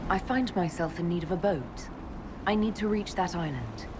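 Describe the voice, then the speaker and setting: A woman's voice: fairly low pitch, animated, moderate pace, noisy background, natural voice. A woman voicing a composed, courteous leader in a video game, polite and direct. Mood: polite, firm. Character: composed, courteous female heroine leading an inquisition.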